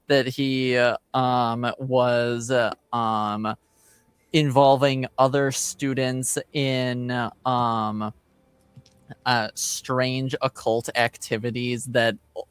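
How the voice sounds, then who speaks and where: Slow, halting male game master. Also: mid-range voice, level tone, clean tone, dry recording. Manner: halting, searching for words. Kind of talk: improvised narration of a tabletop roleplaying game.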